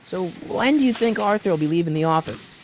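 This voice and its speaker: A man's voice: high voice, lively intonation, quick pace, a little hiss or hum, poor audio. An actor playing a polite, inquisitive young man in a video game, talking casually and a little hesitantly as he probes. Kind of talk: video game dialogue acting.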